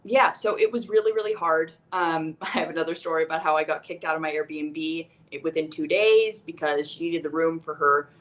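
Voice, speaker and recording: A young American woman, a guest on a podcast, telling stories about moving abroad in a chatty, animated way. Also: deep voice, moderate pace, few pauses, rough recording. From United States. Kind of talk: casual podcast conversation.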